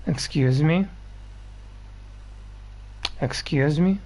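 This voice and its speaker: A man's voice: a little high, extremely slow, a little mellow, poor audio. A man giving casual gameplay commentary, reacting bluntly and with some swearing. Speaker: gamer, streamer.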